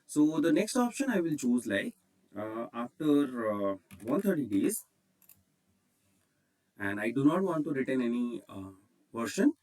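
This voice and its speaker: A man's voice: mid-range voice, sing-song, a little slow, long pauses, darkish tone. Male tutorial presenter, Indian accent, explains each step methodically, recorded at a distance from the mic. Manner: instructional, repeats "okay" as a check. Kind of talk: step-by-step software screencast tutorial.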